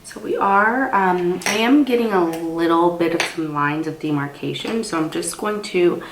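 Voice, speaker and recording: Casting: young female beauty vlogger, low voice, stuffed up with a cold, casual tutorial chat, roomy echo. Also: moderate pace, slight background noise. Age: young adult. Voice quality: slightly stuffy, congested. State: has a cold. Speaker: makeup artist and beauty vlogger. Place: small indoor room.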